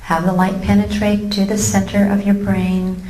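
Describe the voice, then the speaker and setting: A woman leading a guided meditation in a calm, gently instructive way with long pauses, recorded live in a room. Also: mid-range voice. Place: room with a seated live audience. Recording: roomy live recording with faint noise.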